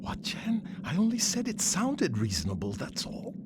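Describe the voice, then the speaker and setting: An actor playing an earnest, protective hero in a fantasy video game, in a conversational manner. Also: male voice, high voice, wildly swooping pitch, moderate pace, very noisy. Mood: mild, reassuring. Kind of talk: video game cutscene acting.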